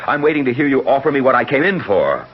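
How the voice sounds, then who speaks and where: Proud, debt-ridden young gentleman gambler, highly animated delivery, dull vintage radio sound. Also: fast talker, slightly rough. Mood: sardonic, impatient. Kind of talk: 1970s radio drama acting. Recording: muffled old radio broadcast, faint crowd.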